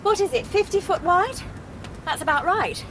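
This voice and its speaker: An actress in a radio drama playing an earnest, eager aeronautics expert, with a hesitant, scholarly and excitable manner. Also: female voice, extremely high pitch, normal speed, slight background noise. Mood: thoughtful.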